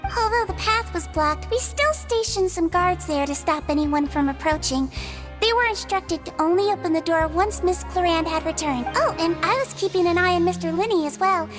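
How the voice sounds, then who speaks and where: A woman voicing a small, caring creature nurse in a video game, sweet and childlike, with a gentle, polite big-sisterly manner. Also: very high-pitched, animated, normal speed. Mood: earnest. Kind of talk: video game character acting. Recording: music behind the voice.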